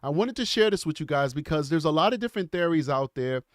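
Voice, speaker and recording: A man's voice: fairly high pitch, animated, fast talker, no echo, studio quality. A man with a cold and a congested voice, hosting a casual livestream in a chatty, rambling way and laughing often. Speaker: show host.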